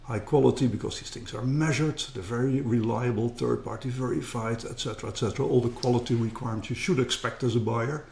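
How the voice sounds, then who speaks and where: A man's voice: medium pitch, quick pace, vocal fry, hardly any pauses, a little mellow, roomy sound. A middle-aged man with a Dutch accent, talking earnestly as a guest in a casual interview and often repeating words as he starts a thought. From Netherlands. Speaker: guest, company co-founder.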